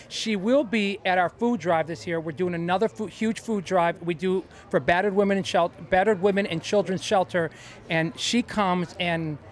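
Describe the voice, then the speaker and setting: A man hosting a talk show interview, effusive and enthusiastic with his praise. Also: sits high. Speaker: host.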